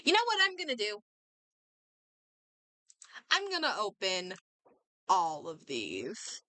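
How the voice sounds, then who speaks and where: A woman's voice: medium pitch, huge pitch swings, slow pace, pushed and bright, no echo. A woman livestreamer chatting casually as she games, reacting and thinking aloud, often doubling back on her words. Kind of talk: casual chat while gaming, reacting aloud.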